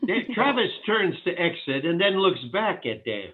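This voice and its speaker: A man's voice: high-pitched, big pitch swings, moderate pace, breathy edge. A man reading stage directions aloud as the narrator of a virtual table read. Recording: tinny phone-like line.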